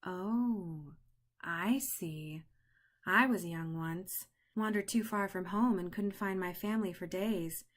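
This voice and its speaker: A woman voicing a grumpy old male turtle who snaps at first and then speaks slowly and kindly. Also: lowish voice.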